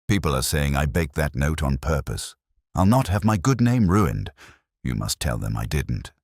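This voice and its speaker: A man plays an outraged, respectable townswoman in a storybook mystery. The voice is very low and husky, swinging in pitch as it insists stiffly on being heard. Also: big pitch swings, normal speed, darkish tone, no room sound, clean studio sound, heavily acted. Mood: indignant.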